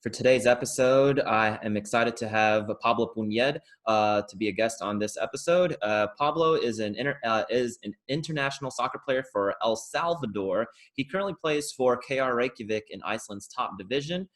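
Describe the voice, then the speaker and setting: A man's voice: medium pitch, moderate pace, few pauses. A man hosting a soccer podcast, introducing his guest and asking questions over a video call, hesitating and filling gaps with uh. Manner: hesitant, many uh fillers and restarts. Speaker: podcast host. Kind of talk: casual podcast interview.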